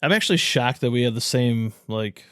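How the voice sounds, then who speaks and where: A man's voice: mid-range voice, animated, fairly quick, a bit bright, clear, resonant tone, no room sound, studio quality. A man co-hosting a podcast with chatty, slangy, wry casual banter. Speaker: podcast co-host.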